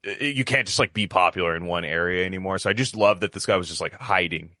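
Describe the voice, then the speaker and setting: A man chatting casually as a podcast co-host, speaking with halting, enthusiastic bursts and repeated words. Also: deep voice, sharp tone, dry recording, clean studio sound.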